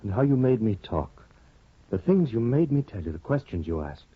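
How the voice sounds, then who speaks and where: An actor playing an intense, wounded former lover in impassioned, halting 1950s radio drama style. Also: male voice, medium pitch, big pitch swings, slightly rough, dry recording. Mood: intense, hurt. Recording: muffled old radio broadcast.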